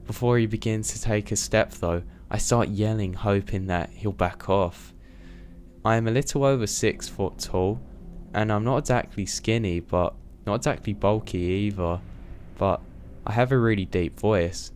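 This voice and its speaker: A young man narrating a scary first-person story in a steady, scripted read. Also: fairly low pitch, moderate pace, a little hiss or hum.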